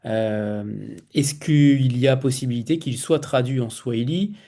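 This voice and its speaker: A man's voice: mid-range voice, unhurried, mellow. A man hosting a literary interview, asking long, multi-part questions and reading out a quote from the book.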